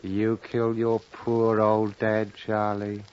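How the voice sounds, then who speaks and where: An actor playing a shrewd, blunt baker who drives a bargain in a dry, matter-of-fact way, in old-time radio drama style. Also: male voice, lowish voice, monotone, takes it slowly, darkish tone, a little hiss or hum. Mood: wry, bargaining. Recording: muffled vintage radio recording.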